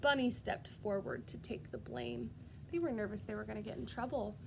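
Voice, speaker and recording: A woman reading a storybook aloud as a preschool teacher, expressive and sing-song, pausing to put questions directly to young children. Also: mid-range voice, gravelly, slight background noise.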